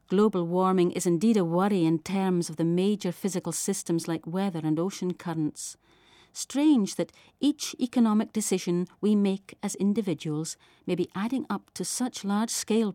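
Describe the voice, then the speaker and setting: A woman's voice: mid-range voice, normal speed, mellow, dry recording, clean studio sound. A woman with a Shetland Scottish accent reading her own literary writing aloud, as a poet and letter writer.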